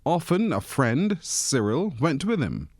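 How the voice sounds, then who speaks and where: A man's voice: on the high side, very animated, slow, darkish tone, no room sound, studio quality. A man reading a children's storybook expressively, as a narrator who acts out the characters. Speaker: story reader.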